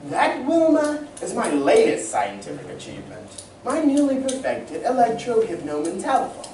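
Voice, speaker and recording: An excitable, learned inventor from a 1940s radio serial, acted by a woman, explains grandly and deliberately. The pitch is fairly high and very animated. It is heard from off in an echoey room with some noise. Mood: proud, explaining. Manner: theatrical, deliberate, professorial. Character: excitable older scientist doctor, proud and learned inventor. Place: community playhouse stage reading. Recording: distant mic, echoey room, background noise.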